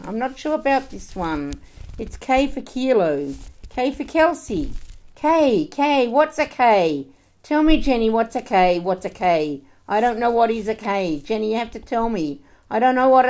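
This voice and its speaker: A woman's voice: fairly high pitch, lively intonation, a little slow, a little mellow, pitched above the performer's own voice. Woman acting an agitated, confused mother, raised voice. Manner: insistent, repetitive, pleading. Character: confused, agitated mother fretting over her accounts.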